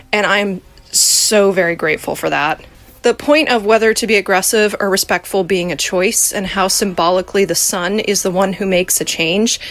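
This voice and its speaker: A woman's voice: medium pitch, fairly bright tone. A woman giving earnest, appreciative spoken commentary as a podcast listener sending in feedback.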